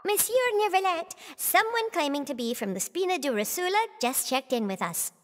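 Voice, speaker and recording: High-voiced, dutiful aide. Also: female voice, very animated, normal speed, fairly bright tone, big-room sound. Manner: formal, dutiful. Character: polite female aide reporting to superior. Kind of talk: video game voice acting.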